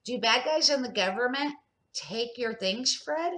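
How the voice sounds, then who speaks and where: A woman's voice: fairly high pitch, animated, unhurried, a bit bright, voice pushed up. A woman reading the part of a curious, thoughtful young boy in a children's storybook, with an inquisitive manner.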